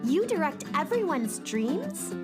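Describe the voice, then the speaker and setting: A woman's voice: high voice, big pitch swings, takes it slowly, music in the background, heavily acted. A woman playing an eager, earnest young space cowgirl in a children's radio play. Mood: curious.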